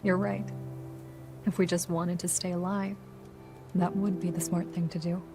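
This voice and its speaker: An actress playing a calm, resolute and caring female mercenary in a video game, gentle and steady. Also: on the low side, normal speed, over music, lightly acted. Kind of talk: dramatic video game acting.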